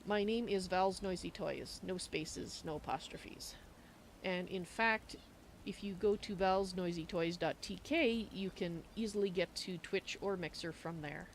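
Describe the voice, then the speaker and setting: A woman's voice: on the low side, a little slow, slight background noise. A woman hosting a casual livestream, chatting in a rambling, self-correcting way. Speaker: streamer, host.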